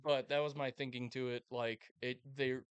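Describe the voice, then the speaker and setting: Clear-voiced man in relaxed podcast conversation. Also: medium pitch, normal speed, dry recording, lo-fi sound. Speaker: podcast co-host. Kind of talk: casual chat ranking movies.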